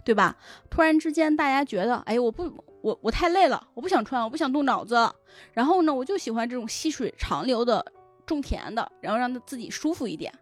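Woman, high voice, conversational explainer, example-filled podcast talk, music underneath. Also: lively intonation, fairly quick. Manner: explanatory, illustrates points with examples and imagined reader voices. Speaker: guest, web fiction editor. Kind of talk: casual podcast discussion.

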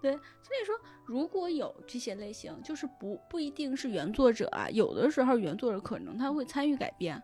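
A woman with a fairly high voice talks casually as a podcast panelist. She explains her points conversationally, often affirming as she goes, with music playing behind her. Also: big pitch swings, a little fast, few pauses, warm tone. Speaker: podcast panelist discussing adaptations of novels. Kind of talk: casual chat.

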